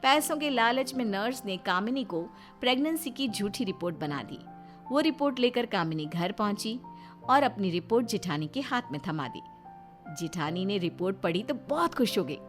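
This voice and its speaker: A woman's voice: mid-range voice, expressive, normal speed, soft background music. A woman storyteller reading a scripted family tale as narrator.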